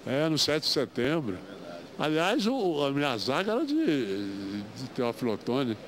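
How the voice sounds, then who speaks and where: A man's voice: mid-range voice, big pitch swings, measured pace. An elderly Brazilian man, a former footballer, chatting casually into a handheld interview microphone. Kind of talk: casual chat.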